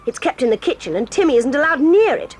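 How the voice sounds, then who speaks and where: An actress voicing a proud, quick-tempered tomboy girl in an audio drama, talking in a brisk, bossy and eager way. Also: high-pitched, big pitch swings, noisy background. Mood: excited, lively. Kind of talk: 1980s audio drama acting.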